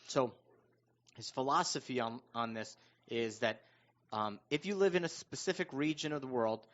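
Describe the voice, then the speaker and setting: A man's voice: mid-range voice, normal speed, fairly bright tone, highs cut off. A man on a talk radio panel, explaining his views in a casual, conversational way. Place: radio show panel discussion.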